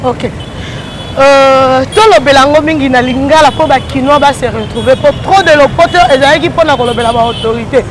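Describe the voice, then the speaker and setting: A woman with a Congolese French accent reports from an outdoor city crossroads, posing earnest rhetorical questions. Her voice is high. Crowd chatter and heavy street noise surround her. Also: fairly quick.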